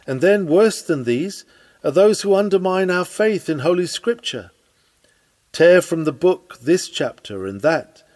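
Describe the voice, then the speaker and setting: A man's voice: medium pitch, a little mellow. A man reading an old-fashioned sermon aloud in an earnest, declamatory preaching manner. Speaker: sermon reader.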